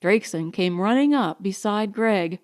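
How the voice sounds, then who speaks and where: A woman narrating a science fiction audiobook in a measured, deliberate, dramatic way. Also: mid-range voice, expressive, darkish tone.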